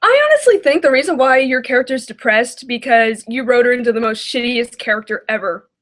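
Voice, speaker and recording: A woman's voice: fairly high pitch, animated, brisk, a bit bright. A woman giving casual, blunt reaction commentary with an exclamatory way of talking. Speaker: reaction video host.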